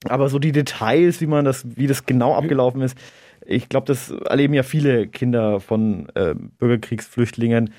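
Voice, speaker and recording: A man in his thirties, a native Arabic speaker from Germany, answering interview questions in a measured, reflective way with an occasional chuckle. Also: medium pitch, dark tone, dry recording, clean studio sound. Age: 30s. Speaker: interview guest, local politician.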